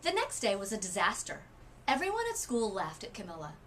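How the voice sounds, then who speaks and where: A woman reading a children's storybook aloud as a literacy coach, with expressive emphasis and dramatic pauses. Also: medium pitch.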